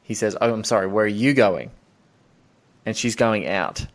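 A man's voice: on the low side, expressive, moderate pace. A man hosting a casual film discussion, talking in an enthusiastic, analytical and digressive way. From Australia. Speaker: podcast host.

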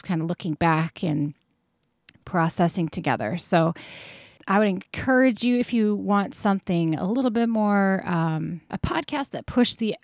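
A woman in her thirties hosts a podcast, chatting in an easygoing, upbeat way and laughing often. Her voice sits at a middle pitch and sounds close and dry, with no room sound around it. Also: no echo. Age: 30s. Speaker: podcast host. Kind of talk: casual podcast intro and interview.

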